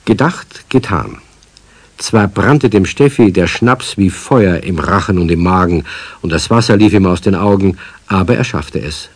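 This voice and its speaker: A man as the narrator of a children's radio play, telling the story in a husky voice. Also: low-pitched, animated, a little slow, dry recording, heavily acted. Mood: storytelling. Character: male storyteller of a children's tale.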